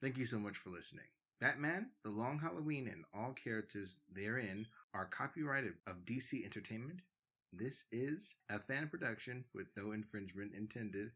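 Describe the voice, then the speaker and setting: A man's voice: on the low side, animated, normal speed, sharp tone, slightly rough. A man hosting a fan audio drama, speaking directly to listeners in a podcast sign-off style.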